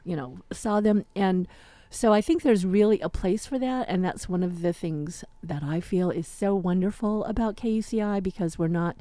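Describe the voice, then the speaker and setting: A woman's voice: mid-range voice, moderate pace, hardly any pauses, dark tone, no echo. An American woman hosting a radio show, chatting casually and informally. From United States. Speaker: radio host. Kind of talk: casual interview chat. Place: radio studio.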